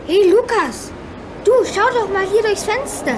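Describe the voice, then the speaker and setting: An eager young boy in a children's radio adventure. The voice is high, full of anxious, curious questions. A clear rumble runs behind it. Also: animated, a little slow, low-quality recording. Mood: excited. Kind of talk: children's radio play acting.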